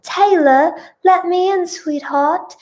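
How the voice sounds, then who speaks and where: A woman's voice: on the high side, slow. A woman voicing a doting, worried husband in a story, with a British accent and a tender, coaxing manner. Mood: urgent concern.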